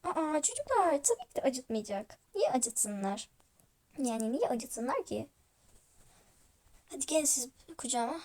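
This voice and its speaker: A reassuring mother in a toy roleplay story, acted by a woman. She has a high voice and a caring, soothing way of talking to children. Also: sing-song, measured pace, long pauses, darkish tone, exaggerated voice.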